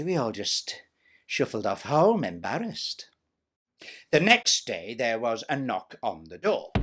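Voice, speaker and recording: A man's voice: mid-range voice, sharp tone, slightly rough, exaggerated voice. A man voicing a vain, jealous pantomime step-sister with a British accent, telling a story theatrically with snide, self-important, chatty asides. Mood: dramatic, gossipy.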